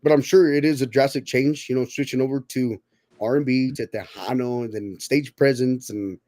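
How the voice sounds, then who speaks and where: A man's voice: medium pitch, fairly even intonation, moderate pace, mellow. A man in his thirties hosting a casual podcast chat, rambling and often repeating his words. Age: 30s. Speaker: podcast host.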